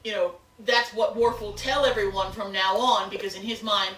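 A very bright-voiced woman discusses an episode in casual conversation as a co-host. She sounds a little distant from the microphone, in a strongly echoing room. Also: medium pitch, fairly even intonation, moderate pace. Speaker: podcast co-host. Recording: roomy echo, distant from mic.

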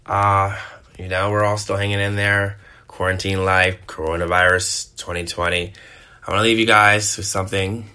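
Deep, bright-toned male podcast host. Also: clean tone. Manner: relaxed, addresses listeners as "guys"; slows down to read a quote. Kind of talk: casual show sign-off.

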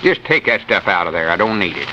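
A man's voice: low-pitched, big pitch swings, quick pace. An actor playing a stubborn, sensible country man with a rural Southern accent, folksy and plain-spoken, in an old-time radio comedy style. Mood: exasperated. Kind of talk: 1940s radio comedy acting. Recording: noisy, muffled old radio recording.

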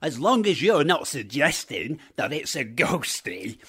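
Daft, timid alien blob, swoopy voice. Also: female voice, sits low, a little slow, breathy edge, full character voice. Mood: nervous, silly. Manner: daft, excitable, mangles words. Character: childlike, timid, silly wobbly colour-changing alien blob. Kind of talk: children's radio comedy drama acting.

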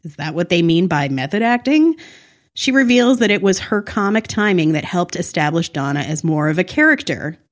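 A woman's voice: fairly low pitch, lively intonation, moderate pace. A woman co-hosting a podcast, chatting about TV and reading excerpts aloud with opinionated, wry asides. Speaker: podcast co-host. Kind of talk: casual TV recap chat, reading magazine excerpts aloud.

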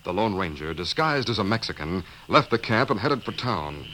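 A man's voice: deep voice, very animated, moderate pace, sharp tone, slight background noise. A man narrating a 1950s radio western serial in a dramatic scripted style.

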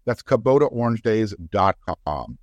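A man's voice: fairly low pitch, big pitch swings, a little slow, no room sound, highs cut off. A man delivering a scripted advertisement as a commercial voice-over announcer.

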